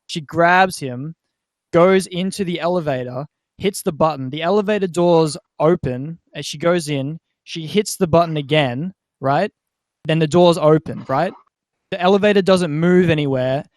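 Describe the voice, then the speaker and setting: Higher-pitched man telling stories casually. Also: normal speed, no echo. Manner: animated storyteller who often checks in with "right?". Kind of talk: casual storytelling chat.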